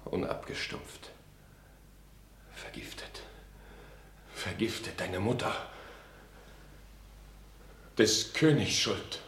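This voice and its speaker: An actor playing a proud, doomed young duellist in a radio tragedy, intense and declamatory. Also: male voice, sits low, lively intonation, extremely slow, pauses often, a bit bright, full character voice. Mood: dying, remorseful. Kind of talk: classic radio play acting of stage tragedy. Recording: roomy studio echo with faint ambient noise.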